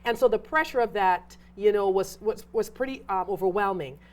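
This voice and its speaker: A woman's voice: medium pitch, normal speed. A woman from Trinidad, a reverend and author, talking earnestly and reflectively as an interview guest, often restarting her sentences. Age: adult who arrived as a child over thirty years ago. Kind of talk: casual interview conversation.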